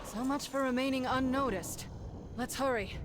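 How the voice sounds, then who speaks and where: A woman's voice: high-pitched, moderate pace, very noisy, natural voice. A woman playing a resolute, troubled human mage leader in a fantasy video game, earnest and commanding. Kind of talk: video game drama acting. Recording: loud music behind.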